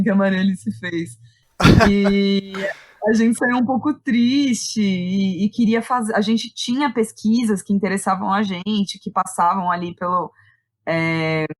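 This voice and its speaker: A Brazilian woman speaks in a medium-pitched voice as an interview guest. She reflects casually and explains things conversationally, often acting out imagined remarks and seeking agreement with short tags. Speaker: interview guest, playwright and director.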